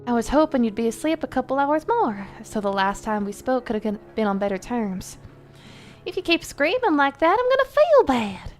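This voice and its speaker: A woman's voice: a little high, sing-song, moderate pace, faint music behind, voice pushed up. A woman voicing a cheerful, offhand female gravedigger who sounds falsely friendly and sing-song in a horror story. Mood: breezy unsettling.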